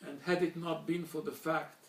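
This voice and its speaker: Older man from Slovakia, German his first language, deliberate formal speaker, slightly high voice, recorded from afar in an echoey hall. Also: little pitch movement, far from the mic, slight background noise. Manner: measured, deliberate, with frequent pauses and restarts. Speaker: speaker recounting childhood memories. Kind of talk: formal talk.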